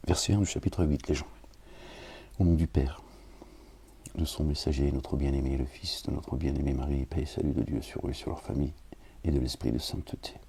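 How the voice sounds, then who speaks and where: A man with an extremely deep, gravelly voice that sits dark and husky. He chats to listeners in a grumbling, wry way before moving into slow, measured scripture reading laced with Arabic invocations. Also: steady tone. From France. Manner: grumbling, wry asides, addresses listeners directly. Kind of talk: casual talk then solemn scripture reading.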